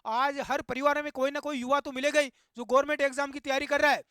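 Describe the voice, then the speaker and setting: A man with a very high, sharp, forceful voice addresses his online viewers. He speaks as an indignant commentator, exhorting and driving points home through repetition. The sound is close and dry. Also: level tone, fast talker, no echo. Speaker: YouTube host. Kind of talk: impassioned opinion commentary to viewers.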